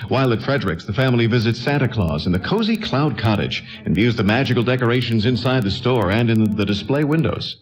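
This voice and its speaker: A man's voice: mid-range voice, lively intonation, fast, hardly any pauses, lightly acted. A man narrating a scripted old-time radio drama as a storyteller. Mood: storytelling. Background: music.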